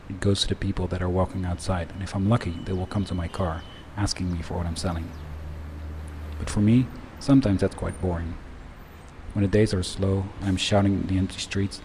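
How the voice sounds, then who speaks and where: A man delivering a scripted first-person monologue in a measured, reflective way. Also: sits low, dark tone, slight background noise, rough recording. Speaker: narrator.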